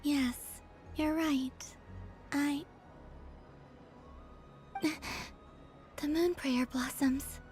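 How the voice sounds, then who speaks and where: A woman playing a wistful, tender otherworldly moon maiden in a video game, speaking softly and breathily with understatement. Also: high voice, steady tone, drawn-out words, long pauses, soft background music. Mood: bittersweet.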